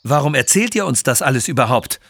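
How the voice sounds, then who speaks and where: A man reads out a fretful, scholarly doctor for an audio storybook. The character questions and ponders in a husky voice that moves about a lot in pitch. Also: expressive, fast, a bit bright, dry recording. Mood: exasperated. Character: anxious, questioning male doctor, scholarly sidekick.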